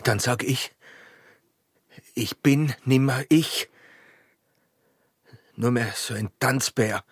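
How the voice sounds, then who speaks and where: An actor playing a proud, bitter and smitten border guard in a radio drama, speaking Austrian rural dialect with a hoarse, breathy voice and intense manner. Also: male voice, mid-range voice, slow pace, no room sound, clean studio sound. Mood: tormented.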